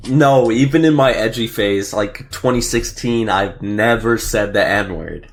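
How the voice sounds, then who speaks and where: A man's voice: fairly low pitch, a little slow. A young adult man chatting casually as a host answering questions, in an informal, slangy and somewhat hesitant way. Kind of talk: casual chat.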